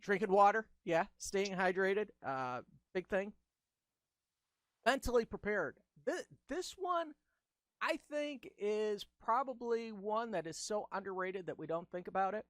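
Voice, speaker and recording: A middle-aged man hosting a casual video-call chat, with a high-pitched, squeaky voice and a chatty, enthusiastic way of going down his list. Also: fairly bright tone, dry recording, clean studio sound. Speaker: host.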